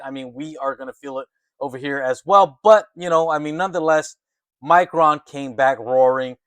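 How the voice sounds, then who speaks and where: Higher-pitched man giving emphatic market commentary. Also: fairly bright tone, no room sound. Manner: emphatic, conversational, often adds tag questions like "right" and "okay". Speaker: host of a stock market commentary channel. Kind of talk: excited informal market commentary, partly reading articles aloud.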